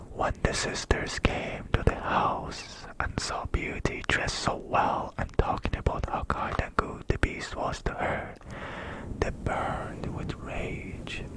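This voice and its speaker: An ASMR storyteller speaks entirely in a breathy whisper, with no voice behind the words. The delivery is very slow, gentle and hushed, suited to a bedtime story. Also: low-quality recording. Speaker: ASMR storyteller and channel host.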